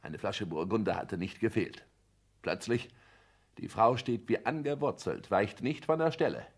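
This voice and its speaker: An actor playing a pompous, calculating husband who boasts and lectures in a declamatory 1960s radio-play manner. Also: male voice, lowish voice, expressive, normal speed, gravelly. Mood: boastful, animated.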